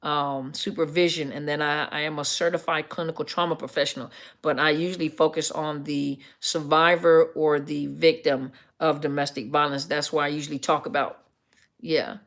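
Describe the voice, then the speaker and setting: A middle-aged woman with a deep, scruffy, gravelly voice, a counselor chatting casually to viewers on a live stream and often correcting herself with ums. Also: little pitch movement, moderate pace, a bit bright. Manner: rambling, self-correcting, many ums.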